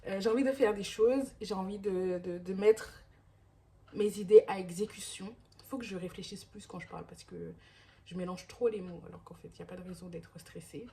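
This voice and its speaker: A woman in her thirties chats casually to her camera at home. She rambles, restarts her sentences and fills the gaps with hesitations, in a voice of middling pitch. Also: fairly quick, warm tone. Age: 30s. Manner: rambling, many restarts and fillers. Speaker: vlogger.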